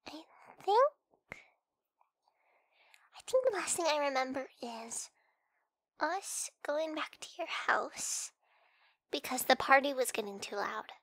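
A woman playing a flustered, hesitant young woman, stammering softly in an ASMR roleplay. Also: high-pitched, lively intonation, takes it slowly, pauses often, dry recording, studio quality, full character voice. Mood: sheepish, hesitant. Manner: hesitant, stammering, soft-spoken with breathy whispered moments. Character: flustered young woman, hungover friend waking up in bed.

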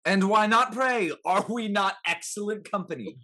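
A young man playing a boisterous, teasing gentleman drinker with a husky voice in a classical stage comedy. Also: high voice, normal speed. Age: young adult. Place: home room, video call.

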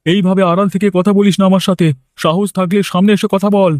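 A man plays a stubborn, cowardly village scavenger in a horror cartoon. The voice is high and quick, excitable and blustering. The sound is dry. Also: dry recording, natural voice. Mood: defiant bluster.

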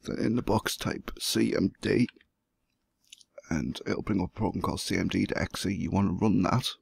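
A hoarse man who has the flu, walking through a computer setup step by step as the host of a gaming channel. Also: low-pitched, moderate pace, dry recording. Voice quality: gravelly, husky. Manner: plain step-by-step explaining. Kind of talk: screen-recorded tutorial.

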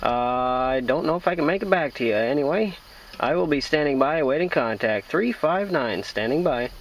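An American man talking as a radio operator, trading call signs in a halting, folksy, friendly way. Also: on the high side, expressive, far from the mic, background noise. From Iowa, United States.

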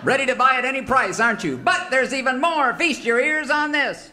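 An actor playing a bombastic, folksy cowboy huckster with grandiose, excited sales patter in a parody radio commercial. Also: male voice, very high-pitched, brisk, full character voice. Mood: grandly excited. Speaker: pitchman.

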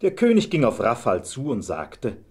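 A man with a husky voice narrating a scripted fairy tale as a storyteller. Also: medium pitch, huge pitch swings, moderate pace, darkish tone.

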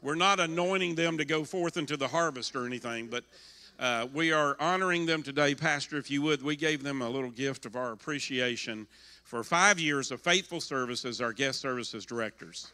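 An older man giving church announcements on a sanctuary stage, folksy and halting, on a handheld mic. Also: mid-range voice, sharp tone. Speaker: pastor.

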